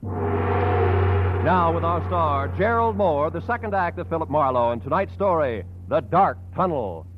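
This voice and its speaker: A man working as a radio announcer, giving a lively 1950s-style promotional announcement. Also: a little high, measured pace, soft background music. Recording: muffled old radio broadcast.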